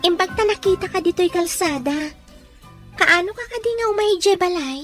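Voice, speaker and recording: A woman's voice: extremely high pitch, expressive, normal speed, music in the background. A woman playing a lovelorn, clingy young student with a Filipino accent in an audio drama. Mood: reproachful. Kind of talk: Ilocano audio drama acting.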